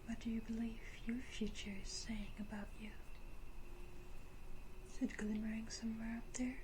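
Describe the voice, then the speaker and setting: A woman voicing an elegant, condescending vampire ruler, whispering with teasing, deliberate menace in an ASMR roleplay. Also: on the high side, level tone, noisy background, lightly acted. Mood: musing.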